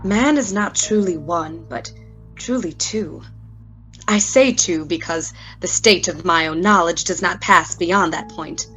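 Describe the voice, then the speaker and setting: A woman's voice: lowish voice, unhurried, fairly bright tone, rough recording. A woman playing a brilliant, tormented scientist in a radio play, delivering a formal, grave and intense monologue. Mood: grave, reflective. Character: brilliant, tormented scientist musing on human nature. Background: music.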